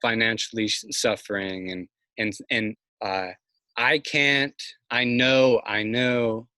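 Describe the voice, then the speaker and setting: Bright-voiced man on a video call. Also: fairly low pitch, fairly even intonation, no echo. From Massachusetts. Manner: thoughtful, halting, many fillers and restarts. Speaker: farmer, interview guest. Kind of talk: casual video call conversation. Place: outdoors by a house's siding. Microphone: video call, laptop or phone mic.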